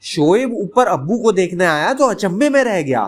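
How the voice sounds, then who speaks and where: Guy telling a bedtime story, pretty high voice, really expressive up and down delivery. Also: normal speed. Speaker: storyteller, writer and narrator of a bedtime story series. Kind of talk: scripted dramatic story narration.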